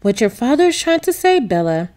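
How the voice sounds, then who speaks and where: Woman reading as a gentle, reassuring storybook mother. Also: fairly high pitch, very animated, a little fast. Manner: patient, explanatory. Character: gentle, reassuring, affectionate mother of a young girl. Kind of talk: children's storybook read-aloud.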